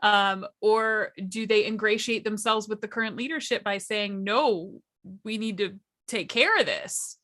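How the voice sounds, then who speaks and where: Woman, bright forceful voice, mid pitch, wry conversational panel talk, articulate. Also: measured pace, few pauses. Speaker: workshop panelist. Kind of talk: casual explanatory panel talk.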